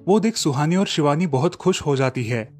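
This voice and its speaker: A man narrating a cartoon story with a steady storytelling delivery. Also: a little high, moderate pace, soft background music.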